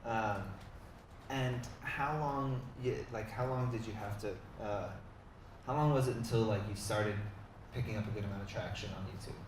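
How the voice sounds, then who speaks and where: Casting note: male host, casual and joking, recorded off the mic in a very echoey hall with clear background noise. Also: normal speed, far from the mic. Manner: loose, joking, trails off into low mumbles. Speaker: podcast host and company head. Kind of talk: casual live interview. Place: large hall at a live conference.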